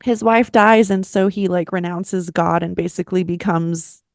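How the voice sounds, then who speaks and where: A woman's voice: lowish voice, steady tone, moderate pace, creaky, no room sound, clean studio sound. A woman chatting casually on a panel in an informal, slangy way. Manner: informal, slangy, mild swearing. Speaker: podcast panelist. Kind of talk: casual chat.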